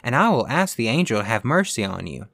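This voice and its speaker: A man's voice: medium pitch, sing-song, quick pace, creaky, a bit bright, dry recording, clean studio sound, heavily acted. A man voicing an earnest, brave little girl in a storybook reading, prim and matter-of-fact in manner. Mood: firm, brave.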